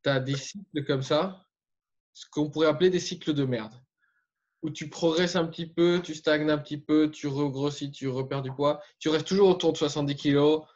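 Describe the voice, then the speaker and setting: A man with a fairly high voice, explaining his points in an emphatic coaching manner. The audio sounds dull and muffled. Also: fairly even intonation, moderate pace, a little mellow. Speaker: fitness coach interviewer. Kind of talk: coaching interview.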